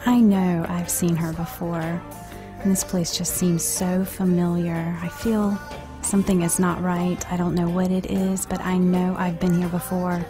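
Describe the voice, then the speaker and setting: Woman acting as troubled female star, hesitant, earnest, lowish pitch, audio-play delivery, music clearly underneath. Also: flat delivery, a little slow, lightly acted. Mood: uneasy, confused. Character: uneasy, bewildered TV star woman in surreal drama.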